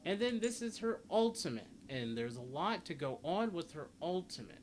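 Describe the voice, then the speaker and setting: A man with a slightly high voice talks through a game guide in a casual, rambling way. He often restates his points as he goes. There is some noise behind him on the recording. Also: big pitch swings, moderate pace. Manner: rambling, repeats and rephrases himself. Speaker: gaming video host. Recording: clearly noisy home recording.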